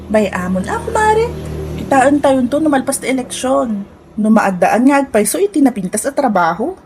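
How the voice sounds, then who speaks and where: An actress with an Ilocano accent playing a concerned, pleading friend and co-worker in a comedy radio drama. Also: female voice, high voice, big pitch swings, moderate pace, a little mellow, slight background noise. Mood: coaxing.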